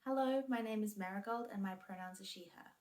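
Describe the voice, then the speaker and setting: Soft-spoken woman in her twenties. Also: medium pitch, a little fast. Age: 20s. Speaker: video creator. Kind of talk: interview-style self-introductions.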